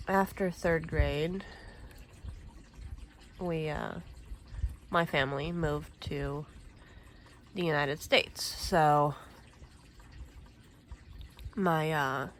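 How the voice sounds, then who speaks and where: A Swedish-born woman in her thirties talks slowly in a somewhat low voice, rambling through her thoughts with fillers and the odd cleared throat. Her casual crafting chat is recorded at home with a faint hum of room noise behind it. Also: steady tone, rough recording. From Sweden. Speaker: crafting vlogger.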